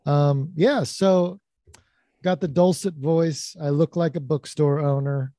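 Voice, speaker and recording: Soft-voiced, soothing deadpan man. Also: fairly high pitch, slow, no echo. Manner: dry, deadpan self-deprecating humor. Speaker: guest comedian. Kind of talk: casual podcast chat.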